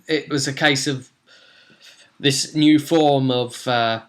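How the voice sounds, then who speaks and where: A young man giving a casual, halting, animated storytelling recap of his tabletop roleplay campaign to camera. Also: mid-range voice. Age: young adult. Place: small room at home, indoors.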